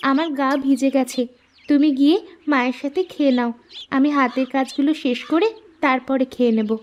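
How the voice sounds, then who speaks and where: A woman voicing a meek, obedient young daughter in a cartoon, soft and pleading. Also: sits high, fairly even intonation, fairly quick, lo-fi sound, natural voice. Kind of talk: cartoon voice acting.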